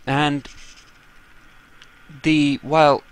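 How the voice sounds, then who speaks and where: Slow, halting male game commentator. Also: on the high side, level tone, clean tone, rough recording. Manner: halting, thinking aloud. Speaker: gameplay narrator. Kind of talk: casual role-playing playthrough commentary.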